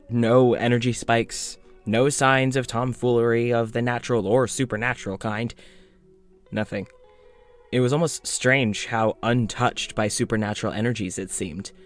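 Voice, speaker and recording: A man playing a tired, awkward, gentle doctor confiding in an audio diary, conversational and dryly wry. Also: on the low side, natural voice.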